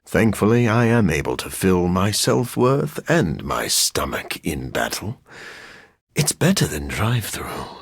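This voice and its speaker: A man voicing a brooding living vampire scientist in a video game, gravelly and husky, formal and measured in wording, with dry deadpan wit. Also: low voice, dry recording, heavily acted. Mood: brooding, wry. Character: brooding living vampire scientist, dour yet dryly witty. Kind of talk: video game character voice lines.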